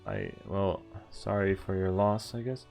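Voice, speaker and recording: Guy reading game dialogue out loud, kinda low, wry and chatty, music playing behind him. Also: sing-song, unhurried, vocal fry. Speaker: streamer. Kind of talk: casual game walkthrough, reading dialogue aloud and commenting.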